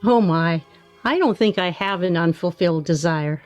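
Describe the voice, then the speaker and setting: An actress in a radio play playing a contented middle-aged wife, demurring in an understated way. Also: female voice, fairly low pitch, normal speed, darkish tone, no echo, faint music behind, natural voice. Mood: bashful, demurring.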